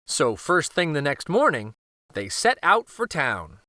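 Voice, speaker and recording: A man narrating a children's storybook aloud, expressive and careful, with dramatic pauses. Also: a little high, dry recording, clean studio sound.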